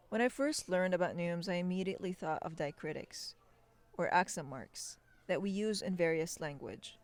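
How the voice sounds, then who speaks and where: A woman hosting a podcast, reading scripted narration in a calm, explanatory manner on a close mic. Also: lowish voice. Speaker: podcast host.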